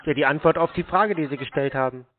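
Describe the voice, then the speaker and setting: A man's voice: on the high side, fast talker. A man sparring on a phone call in a curt, sardonic, evasive manner. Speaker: scam-baiter taking a sales call. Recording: narrowband phone-like sound.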